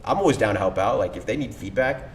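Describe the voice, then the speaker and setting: A man's voice: expressive, fast talker. A man talking casually as a podcast guest, enthusiastic and slangy, with lots of fillers. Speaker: cold email agency owner, guest. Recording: echoey room, distant mic, faint rumble.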